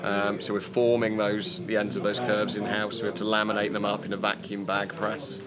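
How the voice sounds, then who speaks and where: A man being interviewed as a company representative, explaining technical things conversationally in a slightly halting way. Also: on the low side, little pitch movement, a loud crowd. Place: hi-fi show. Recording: music playing behind the voice. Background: loud music.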